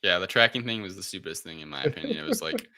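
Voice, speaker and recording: A man with a low-pitched voice and a very bright, forceful edge talks as a podcast co-host. He recaps loosely and irreverently, wandering through the details in casual chat. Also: huge pitch swings, rapid-fire, gravelly. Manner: rambling, offhand, irreverent.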